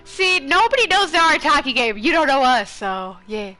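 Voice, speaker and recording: A woman's voice: sits high, very animated, normal speed, over music. A woman gamer giving casual reactions as she plays, with game audio behind her. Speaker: gaming video creator.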